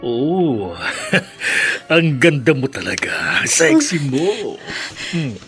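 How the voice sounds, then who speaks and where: A man's voice: on the high side, huge pitch swings, over music, full character voice. An actor playing a lecherous, gloating rich male bar customer in a radio drama. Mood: gloating, lustful. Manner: slow, gloating, leering.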